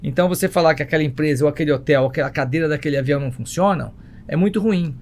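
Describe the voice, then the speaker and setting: A man's voice: a little high, very fast. A man with a Brazilian Portuguese accent talking emphatically in a conversational interview, repeating himself and throwing in rhetorical questions. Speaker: travel agency entrepreneur, podcast guest.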